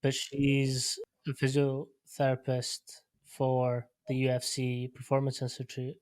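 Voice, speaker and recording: Male podcast host, middle pitch, flat delivery, dry close sound, casual and chatty. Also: slow pace, no echo. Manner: relaxed, leans on "like" and "sort of".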